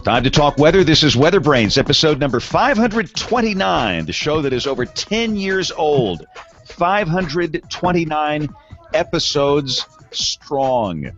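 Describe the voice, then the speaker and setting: A man's voice: fairly high pitch, big pitch swings, unhurried, lo-fi sound, music in the background. A man hosting a weather podcast in a studio, with loose, laughing banter. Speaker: podcast host. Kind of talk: casual chat and show opening. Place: broadcast studio.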